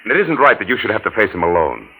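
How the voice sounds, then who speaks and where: An actor playing an ardent secret lover, earnest, impatient and insistent, in a pleading 1940s radio drama style. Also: male voice, on the low side, very animated, very fast, pushed and bright, exaggerated voice. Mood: urgent, insistent. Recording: noisy old radio recording.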